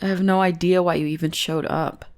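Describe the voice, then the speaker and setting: A woman's voice: lowish voice, steady tone, fairly quick, a little mellow, clean tone, natural voice. A woman playing a bossy, teasing goth bully who is secretly smitten, in an intimate ASMR roleplay. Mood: grudgingly affectionate. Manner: mocking, drawling, intimate teasing. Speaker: voice actor in audio roleplay.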